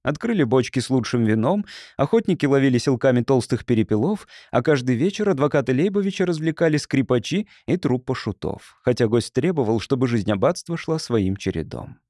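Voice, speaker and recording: A man's voice: mid-range voice, animated, fairly quick, few pauses, mellow, dry recording, studio quality. A man narrating an audiobook in a scripted literary reading style.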